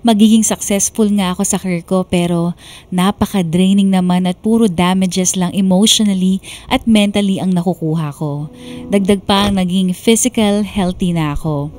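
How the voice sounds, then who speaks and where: A woman's voice: lowish voice, a little mellow, natural voice. A woman playing a reflective young woman narrating her own life story in a Tagalog radio drama. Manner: reflective, earnest. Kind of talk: scripted first-person radio drama narration. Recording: music bed underneath.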